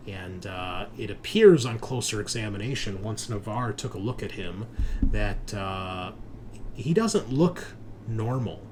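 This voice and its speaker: A man narrating a tabletop roleplaying game as the game master. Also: medium pitch. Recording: noticeable background noise.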